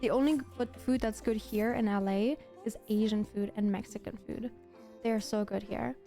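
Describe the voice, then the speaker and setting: A woman's voice: medium pitch, fairly even intonation, moderate pace, vocal fry, warm tone, soft background music. A woman from Germany, a native German speaker, livestreaming in a casual chat with viewers, gushing and emphatic and often repeating herself. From Germany, grew up in Berlin.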